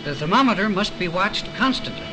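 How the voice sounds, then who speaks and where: A man's voice: sits high, animated, fairly quick, loud music behind the voice. A man playing an earnest, precise science professor in a 1940s cartoon, on a vintage soundtrack. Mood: instructive. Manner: precise, explanatory.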